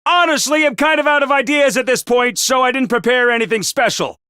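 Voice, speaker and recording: An actor voicing a chipper, unhinged male academy announcer who gives bubbly announcements and bursts into gleeful shouting. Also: extremely high pitch, fairly quick, clean tone, dry recording, studio quality. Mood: cheery. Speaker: game character announcer. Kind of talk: video game voice lines.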